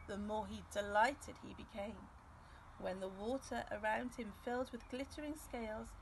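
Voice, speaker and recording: A middle-aged woman reading a storybook aloud to young children outdoors, expressive and deliberate. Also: on the high side, poor audio. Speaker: nursery early years co-ordinator reading a story. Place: outdoors in a grassy garden by a field. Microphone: camera several metres away. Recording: outdoor ambient noise.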